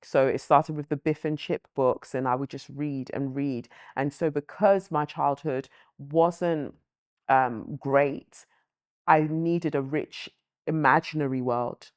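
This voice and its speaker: A woman's voice: low-pitched, steady tone, darkish tone, no echo. Thoughtful woman, dry close sound. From born in Nigeria, raised in London. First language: Yoruba. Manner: thoughtful, measured, with frequent "um" and "you know". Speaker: author and guest. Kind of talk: reflective interview answers.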